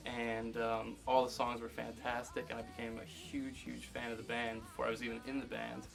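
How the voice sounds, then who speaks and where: A man in his twenties recounts how he joined his band, rambling with eager energy. His voice is mid-pitched, and faint music runs underneath. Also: monotone, brisk, gravelly. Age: 20s. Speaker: drummer. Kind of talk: casual documentary interview.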